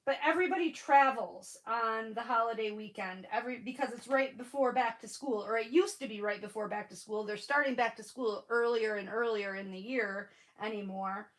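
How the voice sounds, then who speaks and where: A woman's voice: on the high side, normal speed, hardly any pauses, poor audio. A woman in her forties with a Michigan accent and a stuffy nose, chatting casually on a live stream and greeting viewers by name. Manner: frequent fillers and restarts. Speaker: livestream crafting host.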